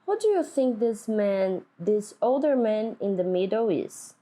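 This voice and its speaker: A woman teacher reading a picture book aloud to children, speaking deliberately and putting simple questions to them. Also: mid-range voice, expressive, mellow. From Brazil.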